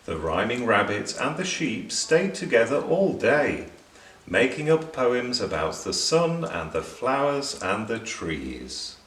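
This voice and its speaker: A man tells a children's story with very lively intonation and an unhurried pace. He sounds a little away from the microphone in an echoey room. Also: mid-range voice. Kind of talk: expressive children's story narration. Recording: roomy, distant, faint background noise.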